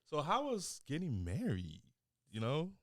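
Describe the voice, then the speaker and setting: A man hosting a podcast, asking questions in a laid-back way with fillers, on a studio boom mic. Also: huge pitch swings, a little slow, no room sound. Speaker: podcast host. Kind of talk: casual interview questions. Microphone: boom-mounted studio mic.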